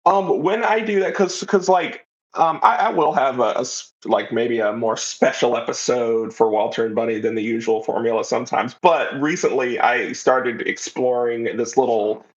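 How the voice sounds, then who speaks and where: A man's voice: medium pitch, moderate pace, hardly any pauses. A man speaking casually as an interview guest, a writer chatting about his work, on slightly dull, lo-fi audio.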